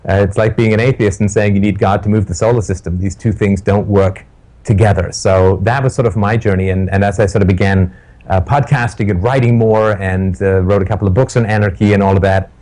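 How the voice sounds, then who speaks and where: Low-voiced middle-aged man, vivid storyteller. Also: fairly even intonation, a little fast, dark tone, rough recording. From born in Ireland, raised in England and Canada. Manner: vivid, colorful phrasing with wild metaphors. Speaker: interview guest. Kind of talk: storytelling interview answer.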